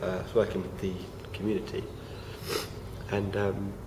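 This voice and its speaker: A young man, croaky from feeling unwell, talking casually to camera with many fillers and restarts. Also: deep voice, completely flat delivery, moderate pace. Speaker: vlogger. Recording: noisy home recording.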